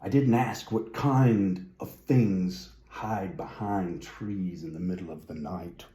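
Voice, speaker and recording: A man's voice: low-pitched, takes it slowly, warm tone, echoey room. A man reading a children's story aloud, with whimsical, musing asides to the listener. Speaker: storybook reader.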